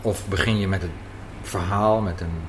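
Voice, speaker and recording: A man conducts a casual interview. His voice is fairly low, and he probes by thinking aloud, with faint café noise around him. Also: steady tone, moderate pace.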